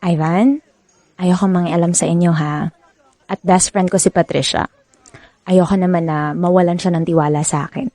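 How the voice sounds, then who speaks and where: A woman with a Filipino accent playing a frank, caring best friend who gives advice in a radio drama, with expressive, drawn-out emphasis. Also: lowish voice, no echo. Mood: reluctant.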